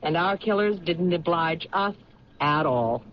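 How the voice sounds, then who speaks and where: An actress playing a thoughtful, intuitive police lieutenant who questions in a measured way in a 1970s radio drama. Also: female voice, fairly low pitch, expressive. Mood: pondering. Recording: dull lo-fi radio broadcast with faint hiss.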